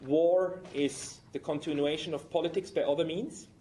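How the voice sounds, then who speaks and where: A man's voice: a little high, fairly even intonation, unhurried, mellow. A man giving a public academic lecture at a university, explaining his ideas to listeners. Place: university talk in Tokyo.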